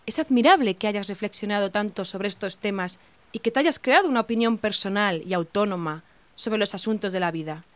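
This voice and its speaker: A woman's voice: medium pitch, lively intonation, a little fast, dry recording, lightly acted. An actress playing a patronising, self-assured middle-aged mother of the ruling class in an audio drama. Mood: condescending. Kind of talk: scripted audio drama acting.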